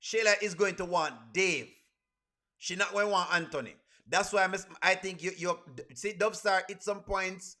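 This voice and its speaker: A man's voice: high-pitched, normal speed, pushed and bright. A man with a Caribbean accent hosting a casual reaction livestream, talking to viewers and repeating his phrases for emphasis. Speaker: livestream host.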